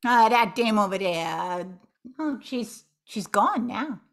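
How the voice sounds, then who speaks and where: A woman's voice: medium pitch, expressive, slow, vocal fry. A woman playing a brassy, emphatic dame who poses as a wronged wife in an amateur radio play. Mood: matter-of-fact. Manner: forceful, emphatic. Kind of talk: amateur old-time radio play acting. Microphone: computer on video call.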